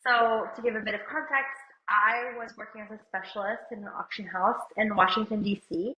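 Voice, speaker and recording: A chatty woman with a slight cold hosts a relaxed talk, her voice a little muffled and dull. The room around her is roomy and echoey. She talks easily, with lots of "like" and light asides. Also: medium pitch, fairly quick. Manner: chatty, uses "like" often, laughs lightly. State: has a cold. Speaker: podcast co-host and company CEO. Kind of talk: casual chat. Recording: roomy, somewhat dull sound.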